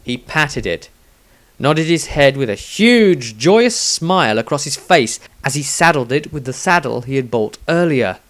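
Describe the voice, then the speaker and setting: A man's voice: medium pitch, lively intonation, a little slow, a bit bright. A man reading his own scripted fantasy story aloud as narrator, with a dramatic storytelling delivery.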